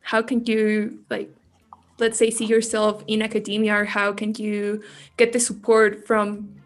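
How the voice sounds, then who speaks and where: A woman's voice: medium pitch, monotone, unhurried. A young woman, a university student, explaining her views in a casual podcast discussion. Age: young adult.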